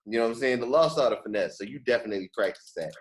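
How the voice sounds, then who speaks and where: A man's voice: medium pitch, expressive, racing. A man from New York in his thirties hosting a podcast, chatting casually and effusively in slangy speech. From New York, United States. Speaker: podcast host. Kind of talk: casual interview chat.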